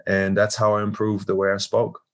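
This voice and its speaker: A man's voice: fairly low pitch, monotone, a bit bright. A man in his forties from Germany, speaking with a German accent as an actor being interviewed, often repeating words as he restarts. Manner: halting, many restarts. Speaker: actor guest. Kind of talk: casual interview.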